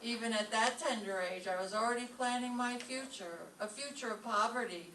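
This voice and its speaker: Bright, wry lady schoolteacher, played by a woman, distant mic. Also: on the high side, moderate pace. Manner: dry, sarcastic delivery of quips. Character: wry, sharp-tongued woman schoolteacher of English. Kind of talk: old-time radio comedy acting. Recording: distant roomy stage pickup, faint noise.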